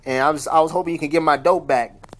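A man's voice: on the high side, fairly even intonation, fast, fairly bright tone. A man doing comedic, profane voice-over dubs in a slangy, exclamatory way. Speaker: comic voice-over dubber. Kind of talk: comedic profane dub skits. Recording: noisy homemade dub recording.

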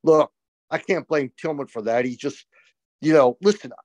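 An older man co-hosting a postgame lacrosse show over a video call, talking with emphatic, opinionated excitement. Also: a little high, normal speed, no room sound. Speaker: co-host, college lacrosse analyst. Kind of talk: excited postgame sports talk. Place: small room indoors. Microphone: video call webcam.

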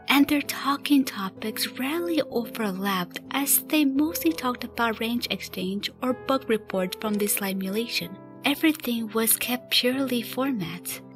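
A woman reading scripted story narration as the narrator of a fan radio play. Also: a little high. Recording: faint music behind. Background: faint background music.

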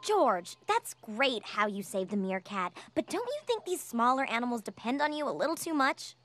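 A woman's voice: fairly high pitch, big pitch swings, moderate pace, a bit bright, faint music behind. A woman voicing a sensible, earnest young girl in a cartoon, pleading and reasoning as she talks. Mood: gently reproving.

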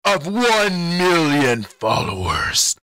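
A man's voice: on the high side, sing-song, takes it slowly, pushed and bright, clear, resonant tone, dry recording, pitched above the performer's own voice, exaggerated voice, poor audio. A man voicing a haughty, menacing anime-style king in a pompous, taunting manner for a cartoon. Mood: gloating, scornful.

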